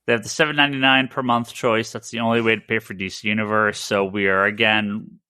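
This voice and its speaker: A man's voice: lowish voice, level tone, fast talker, sharp tone, smooth, dry recording. A man hosting a casual, informal discussion of comics news. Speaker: podcast host.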